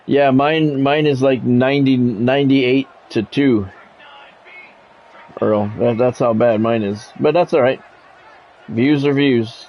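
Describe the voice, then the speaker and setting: A man's voice: mid-range voice, fairly even intonation, slow pace, long pauses, highs cut off, slight background noise. A man doing sports play-by-play commentary in short announcer-style phrases, mixed with casual chat to his viewers. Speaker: live streamer and play-by-play commentator.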